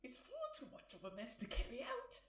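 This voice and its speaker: A woman's voice: high-pitched, wildly swooping pitch, a little fast, a bit bright, heavily acted. A woman playing a troubled, appalled assassin in an audio drama. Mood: appalled, tense. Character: troubled female assassin, appalled by her accomplice. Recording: roomy, distant from the mic.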